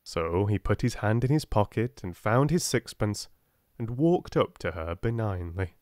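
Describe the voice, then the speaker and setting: British male storybook narrator, soft-spoken, lowish and lively, dry close sound. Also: measured pace, no echo. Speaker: audiobook narrator.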